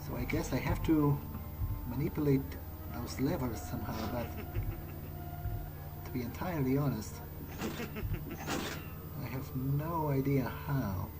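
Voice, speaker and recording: A man narrates his video game playthrough in character, thinking out loud at a slow pace. His voice sits at a middling pitch, and his delivery is hesitant and wryly understated. Game music plays clearly behind him. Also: low-quality recording. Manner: hesitant, musing aloud, wry understatement. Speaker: let's play gamer. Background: video game soundtrack.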